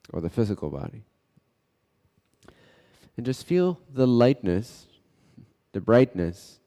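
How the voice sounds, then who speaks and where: Slow, low-voiced man guiding meditation, heavy reverb. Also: dark tone. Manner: measured, halting delivery in short phrases with pauses. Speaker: meditation guide. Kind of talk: guided meditation. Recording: long hall-like reverb.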